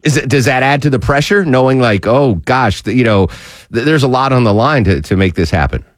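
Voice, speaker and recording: Brief: male radio host, enthusiastic, rambling, lots of restarts, very lively, dry studio sound. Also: mid-range voice, fairly quick, no echo. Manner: eager, rambling, many fillers and restarts.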